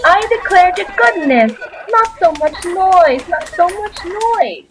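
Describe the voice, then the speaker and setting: A performer playing an excitable, fussing character in an amateur radio play. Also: very high voice, slow, noisy background, full character voice. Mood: flustered, excited.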